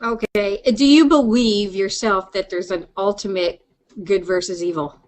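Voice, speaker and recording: Casual, enthusiastic woman interviewer, mid-pitched. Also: steady tone, measured pace, darkish tone. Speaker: podcast interviewer. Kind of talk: casual chat.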